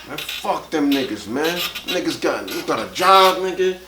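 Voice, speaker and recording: A man in his mid thirties in casual podcast chat, talking quickly, repeating himself and checking that he's understood. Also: a little high, big pitch swings. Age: 30s. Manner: rapid, repeats himself, keeps asking "you know what I'm saying". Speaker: podcast co-host. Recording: echoey room with faint noise.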